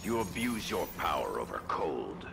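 A proud masked ice warrior from a fighting game. The voice is extremely low and creaky, moving expressively through solemn, formal declarations. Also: male voice, very animated, normal speed, background noise, heavily acted. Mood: stern. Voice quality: very deep, gravelly. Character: stern, proud masked ice ninja warrior, honorable grandmaster. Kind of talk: video game voice acting.

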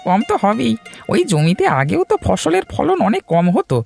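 A man's voice: high voice, wildly swooping pitch, normal speed, vocal fry, darkish tone, soft background music, heavily acted. A man voicing a deferential, plain-spoken farmhand servant in a cartoon. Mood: meek, frank. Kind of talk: cartoon voice acting.

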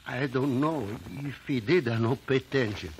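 An actor playing an earnest, kindly immigrant shopkeeper in a 1950s radio drama, speaking broken English with a foreign accent. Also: male voice, medium pitch, normal speed, close to an everyday voice. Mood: sincere, reluctant. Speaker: courtroom witness. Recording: noisy 1950 radio broadcast recording.

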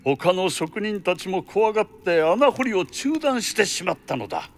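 An actor playing an imperious count in a radio drama, commanding and lordly in an old-fashioned way. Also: male voice, high-pitched, big pitch swings, normal speed. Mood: grave, troubled. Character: imperious male count, senior government overseer. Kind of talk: Japanese radio drama acting.

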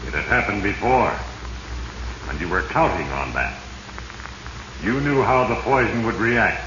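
Sinister male Fate: ominous, deliberate radio narrator, low register, reverberant vintage sound. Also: expressive, muffled recording. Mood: menacing. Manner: ominous, deliberate, addresses his victim directly. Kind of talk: 1940s radio drama narration. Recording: echoey, noisy 1940s radio recording.

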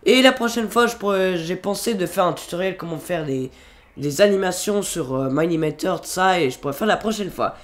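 Jeune homme enrhumé, tutoriel décousu. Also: male voice, high voice, lively intonation, a little fast. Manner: rambling, many fillers and restarts. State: has a cold. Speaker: tutorial youtuber. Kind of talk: casual screen-recorded tutorial. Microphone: computer microphone.

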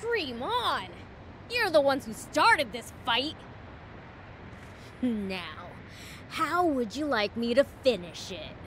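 Casting: young fiend boy in a video game, brash, bouncy pitch, unhurried pace, hot-headed swagger. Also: big pitch swings, fairly bright tone, a little hiss or hum, exaggerated voice. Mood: cocky. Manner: brash, boastful.

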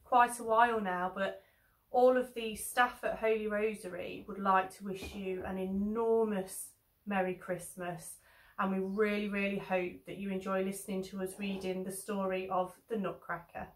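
A woman's voice: medium pitch, level tone, moderate pace, poor audio. A young woman on the school staff reading a storybook aloud to children at home. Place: home living room.

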